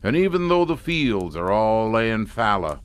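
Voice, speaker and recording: Backwoods Southern patriarch, radio horror: fairly low, varied intonation, folksy, grimly resigned. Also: male voice, sing-song, measured pace, clear, resonant tone. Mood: grimly matter-of-fact. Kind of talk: radio horror drama acting.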